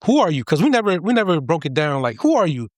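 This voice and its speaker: Fast-talking playful male podcast host. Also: fairly high pitch, sing-song, dry recording. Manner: playful, prodding questions. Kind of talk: casual interview conversation.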